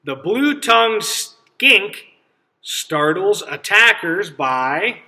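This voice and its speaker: A man reading a picture book aloud to children as a teacher, pausing to ask simple questions and explain things. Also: sits high, sing-song, sharp tone. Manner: instructive, checks understanding with simple questions.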